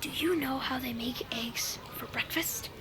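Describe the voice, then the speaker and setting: A woman voicing an outgoing, chatty preteen boy at summer camp in a children's audio drama, breezy, informal and excitable. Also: high-pitched, a little slow, a little hiss or hum, low-quality recording.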